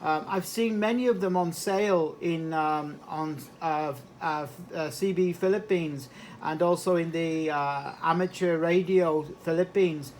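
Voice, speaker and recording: A man's voice: fairly high pitch, a little slow, darkish tone. A man talking casually as a radio operator over a two-way link, hesitant and repeating words. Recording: slightly noisy two-way radio transmission.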